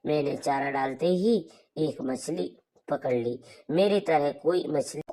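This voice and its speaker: A woman's voice: sits low, takes it slowly, mellow. A woman voicing an eager, boastful little village boy in a cartoon story.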